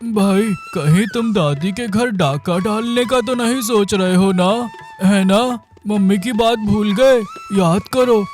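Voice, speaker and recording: A naive, food-loving sidekick thief in a cartoon, voiced by a man. His voice sits very high and sounds eager and whiny. Faint music plays underneath. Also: takes it slowly, hardly any pauses, darkish tone. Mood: silly.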